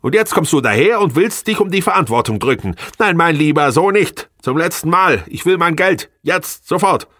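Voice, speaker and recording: A man's voice: mid-range voice, expressive, moderate pace, slightly rough, no room sound, studio quality, pitched above the performer's own voice. A man voicing an angry, demanding estranged wife with biting, indignant emphasis in an audiobook. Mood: indignant, hysterical. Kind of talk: audiobook character dialogue.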